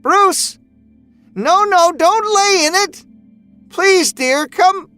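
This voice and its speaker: A man's voice: very high voice, animated, very slow, pushed and bright, no echo, faint music behind, voice pushed up, full character voice. A man voicing an anxious, grieving older mother in a horror audio story, pleading and fretful. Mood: panicked, pleading. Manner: pleading, fretful, self-effacing.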